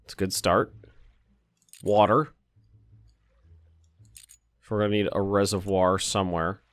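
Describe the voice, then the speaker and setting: A man with a slightly hoarse voice, casually commenting while he plays a city-building game, thinking aloud and cursing now and then. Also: low-pitched, little pitch movement, a little slow, dry recording. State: a little hoarse. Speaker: let's play host.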